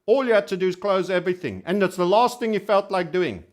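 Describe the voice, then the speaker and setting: Guy doing youtube trading talk, kinda high voice, cheeky and emphatic, repeats himself for stress. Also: lively intonation, fast. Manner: cheeky, colourful storyteller. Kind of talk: informal market commentary.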